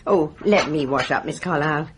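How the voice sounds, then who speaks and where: An actress playing an older British village nurse in a radio drama, chatty, bustling and familiar. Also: female voice, low-pitched, moderate pace, close to an everyday voice. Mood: helpful, brisk. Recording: radio drama with faint background noise.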